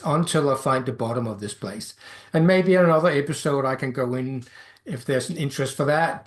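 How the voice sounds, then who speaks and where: Danish-accented middle-aged man hosting a podcast. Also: mid-range voice. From Denmark. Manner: hesitant, many fillers and restarts. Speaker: podcast host and life coach. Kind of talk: solo podcast monologue.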